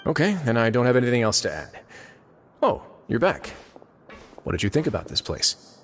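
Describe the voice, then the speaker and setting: A man's voice: mid-range voice, big pitch swings, moderate pace, slight background noise. A man playing a composed, philosophical duke who runs a prison in a video game, speaking with authority.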